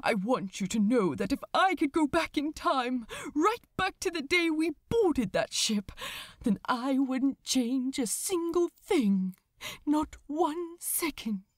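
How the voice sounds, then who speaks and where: Lady doing a nervous proper british angel guy in love, audiobook dialogue, high voice, takes his time. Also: expressive, slow, no echo, studio quality. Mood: tearful, earnest. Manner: formal, hesitant, precise wording. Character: anxious, formal British male angel, earnestly lovestruck.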